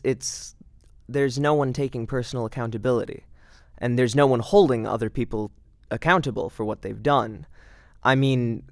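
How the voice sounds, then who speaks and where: A man's voice: mid-range voice, no room sound, clean studio sound. A young man talking analytically in an interview, reasoning aloud with some hesitation. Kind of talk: analytical interview talk about a play.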